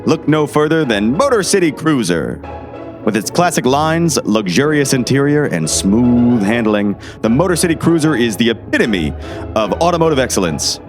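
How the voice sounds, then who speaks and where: A man's voice: mid-range voice, very animated, normal speed, over music, lightly acted. A man playing an upbeat, slick car salesman in a 1960s-style radio commercial. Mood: peppy, salesy.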